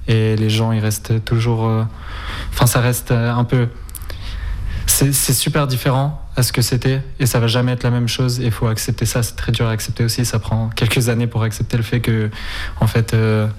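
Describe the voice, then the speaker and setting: A young man. His delivery stays flat and even, with little rise or fall. He pauses often and restarts his sentences as he searches for words. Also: medium pitch. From Spain, raised in Ukraine. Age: 20s. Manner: halting, frequent hesitations. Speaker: guest. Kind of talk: interview answers.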